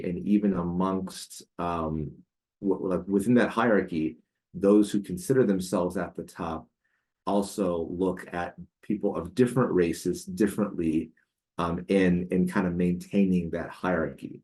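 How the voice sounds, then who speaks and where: A man in his forties with a very low voice tells a story from his own life. He talks casually and halts often, filling pauses with ums and starting his sentences over. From United States. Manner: hesitant, many fillers and restarts. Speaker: podcast guest.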